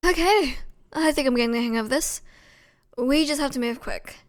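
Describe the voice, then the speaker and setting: A woman voicing a friendly, eager, ghostly alien on a speed date in an audio roleplay, brisk and earnest. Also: sits high, lightly acted. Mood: upbeat, brisk. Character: friendly, eager, sweet semi-tangible female ghostly alien.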